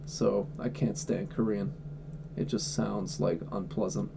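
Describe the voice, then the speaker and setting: A man's voice: on the low side, fairly even intonation, a little slow, gravelly, noisy background. A man livestreaming from home, answering chat questions in a blunt, offhand way and swearing freely. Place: at home indoors.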